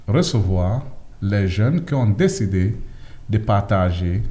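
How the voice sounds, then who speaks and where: A man's voice: on the low side, mellow. A man hosting a podcast interview with young guests, asking informal questions and checking back with short tags. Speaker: host.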